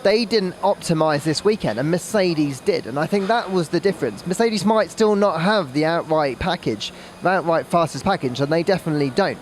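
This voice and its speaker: A man's voice: on the high side, brisk, few pauses, slight background noise. A man giving casual sports analysis as a motorsport podcast pundit.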